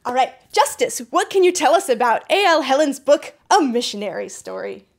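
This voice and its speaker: A young woman hosting a light scripted promotional interview, prompting her colleagues in an animated way. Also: high voice, normal speed. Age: young adult. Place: rooms of a house and a barn. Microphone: boom mic held near.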